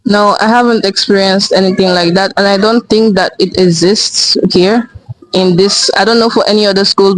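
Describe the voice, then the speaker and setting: A teenage high school student from Nigeria answering interview questions, starting haltingly and then explaining steadily. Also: dry recording, low-quality recording. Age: teens.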